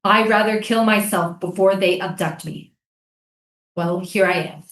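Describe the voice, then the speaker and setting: A woman's voice: mid-range voice, fairly even intonation, measured pace, fairly bright tone, close to an everyday voice. A woman playing an earnest, insistent American soldier and interrogator in a staged reading of a drama. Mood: earnest confession. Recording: roomy, echoey live stage reading.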